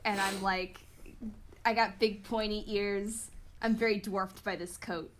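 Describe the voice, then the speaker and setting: A woman's voice: mid-range voice, level tone, a little slow, slight background noise. A woman playing a tabletop game, casually improvising a description of her character.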